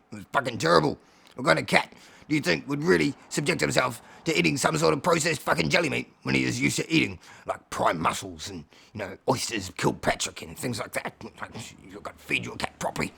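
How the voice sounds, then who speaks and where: A man plays an indignant tomcat in a comic tall tale. The voice is gravelly with a sharp edge. He scolds bluntly, fussy and sweary, and repeats himself. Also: medium pitch, quick pace, voice pushed down, full character voice. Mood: grumpy scolding. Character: grumpy, indignant male three-legged cat, fussy and sweary.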